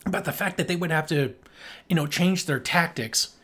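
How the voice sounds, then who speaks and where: A man with a slightly high voice chats casually as a podcast co-host. He picks things apart with sarcasm, in loose conversational movie-review talk. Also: moderate pace, fairly bright tone.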